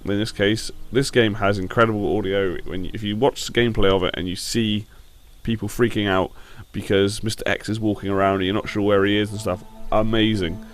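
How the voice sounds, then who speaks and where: Male gaming host, lowish voice, a bit croaky with a sore throat, informal and blunt, soft music behind. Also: flat delivery, a little fast, hardly any pauses. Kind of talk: casual opinion commentary on video games.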